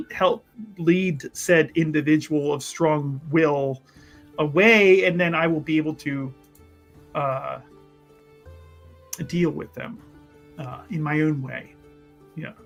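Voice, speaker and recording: A man improvising a smooth-talking, scheming adventurer in a tabletop roleplaying game, halting and wheedling as he offers condescending reassurance. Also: on the high side, fairly bright tone. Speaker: tabletop role-playing player. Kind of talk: improvised in-character actual play.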